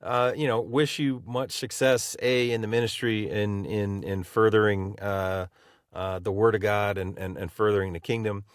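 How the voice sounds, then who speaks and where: Halting, rambling male co-host. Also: fairly low pitch, little pitch movement, smooth, no room sound, clean studio sound. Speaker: podcast co-host. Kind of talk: casual interview chat.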